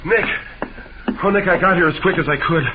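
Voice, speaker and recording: An actor playing an eager, puzzled detective's sidekick, speaking informally and questioningly in an old-time radio drama. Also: male voice, high-pitched, fairly quick, loud music behind the voice, close to an everyday voice. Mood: curious, puzzled. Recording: noisy, muffled old radio broadcast.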